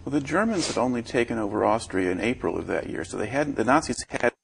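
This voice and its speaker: A man's voice: mid-range voice, animated, fast talker, vocal fry, a little hiss or hum, poor audio. A man interviewing an older guest for an oral history program, asking short questions and prompting her to go on.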